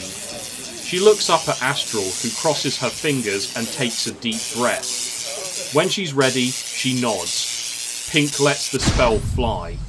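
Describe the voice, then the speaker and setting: A man's voice: mid-range voice, poor audio. A man reading scripted story narration. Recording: music under the voice.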